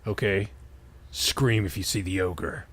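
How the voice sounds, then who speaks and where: A man voicing an irritable, bossy young male wizard with a blunt, gruff manner in a fan fiction reading. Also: fairly low pitch, steady tone, unhurried, no room sound, voice pushed down. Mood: curt.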